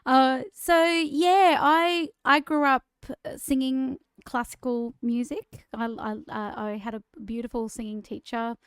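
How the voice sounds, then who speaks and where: A woman's voice: high-pitched, fairly even intonation, a little slow, few pauses, clear, resonant tone, no room sound, studio quality. An Australian woman in her forties, co-hosting a podcast and telling her own story in a chatty, self-deprecating way. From Queensland, Australia. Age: 40s. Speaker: podcast co-host. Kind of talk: casual conversational podcast chat.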